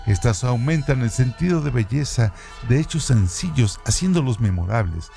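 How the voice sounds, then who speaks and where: A man presenting a radio program, reading literary trivia from a script in a measured, even way. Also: medium pitch, creaky. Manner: measured, reads evenly from a script. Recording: music bed under voice. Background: background music.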